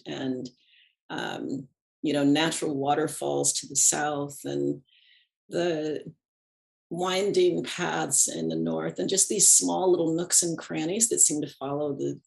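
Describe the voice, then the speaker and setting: A middle-aged woman guest in a reflective conversational interview, speaking thoughtfully and unhurriedly with soft laughs between thoughts. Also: low voice, vocal fry. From United States. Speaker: podcast guest, animist and ritualist.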